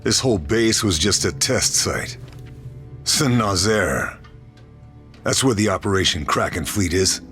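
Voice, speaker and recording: An actor playing a determined sniper hero, narrating a mission with dry, clipped lines over cutscene music. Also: male voice, deep voice, lively intonation, a little slow, pauses often, fairly bright tone. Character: hardened sniper hero, middle-aged man. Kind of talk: game narration.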